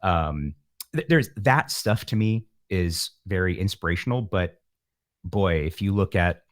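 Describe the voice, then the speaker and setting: A man with a low-pitched voice talks in a reflective interview style. He is earnest and discursive, with a self-deprecating streak. The sound is dry, with very little room around it. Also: animated, measured pace, no echo. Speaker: interview guest, musician.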